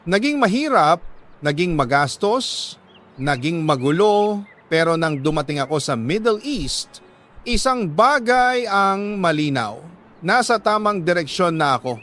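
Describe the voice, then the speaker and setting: A man with a Filipino accent reading a dramatic love story as a radio storyteller, solemn and heartfelt, pausing between short phrases. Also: sits high, big pitch swings, fairly bright tone.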